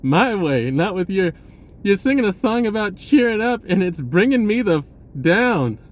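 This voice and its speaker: A man's voice: sits high, sing-song, moderate pace. A man giving a casual, rambling spiritual talk, restarting his sentences and chuckling now and then. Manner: rambling, laid-back, with frequent restarts and chuckles. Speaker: spiritual vlogger.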